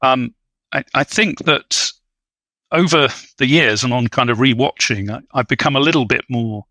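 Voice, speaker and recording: A man talks about film as a thoughtful guest commentator. His voice is bright and forward, recorded very dry. He is scholarly and hesitant, often halting as he builds a point. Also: medium pitch, no room sound.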